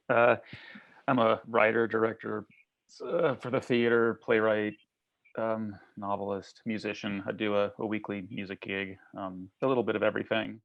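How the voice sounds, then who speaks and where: A man with a low-key, terse way of talking as a panel guest, over a narrow call connection. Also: level tone, normal speed, vocal fry, no echo. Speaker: theater director and writer, panel guest. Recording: narrow phone-like call audio.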